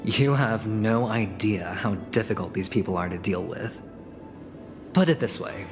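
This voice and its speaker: Scheming young negotiator in a story-driven game. Suave, showy, persuasive delivery over prominent music. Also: male voice, fairly low pitch, lively intonation, unhurried. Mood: smooth, scheming. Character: smooth, scheming young male corporate negotiator and gambler. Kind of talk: video game story dialogue.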